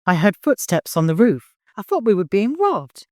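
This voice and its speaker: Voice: mid-range voice, very animated, normal speed, darkish tone, no echo, clean studio sound, pitched above the performer's own voice, natural voice. A narrator voicing an older woman, a devoted nurse who scolds and frets in urgent exclamations. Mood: anxious questioning.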